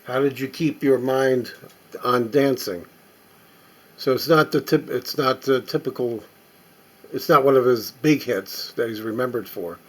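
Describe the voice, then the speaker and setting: A middle-aged or older man giving casual, unscripted show-and-tell commentary as a collector, halting and musing aloud as he inspects things. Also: mid-range voice. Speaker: record collector presenting finds.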